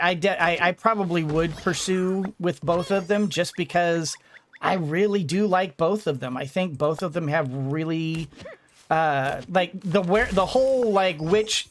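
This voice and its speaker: A streamer commentates casually over a game in a middling pitch. The delivery rambles, thinking aloud as play goes on. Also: slight background noise. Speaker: gaming streamer.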